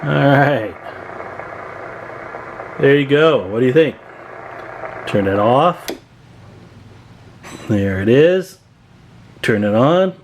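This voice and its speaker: A man with a medium-pitched voice walks viewers through his own project in a casual, folksy way. He often checks in with short rhetorical questions. The sound is a noisy indoor recording from a handheld camera. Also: expressive, extremely slow, long pauses. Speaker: hobbyist radio builder presenting own work. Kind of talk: casual product showcase to camera.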